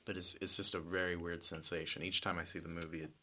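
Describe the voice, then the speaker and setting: Deep, monotone, bright-toned man. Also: fast talker, slight background noise. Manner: thoughtful, hedging with "like". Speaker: filmmaker guest. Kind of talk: casual interview.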